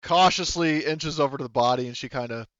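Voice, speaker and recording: A man acting as game master, narrating a tabletop roleplaying game in a casual, halting, sweary way. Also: mid-range voice, a bit bright, no echo, muffled recording.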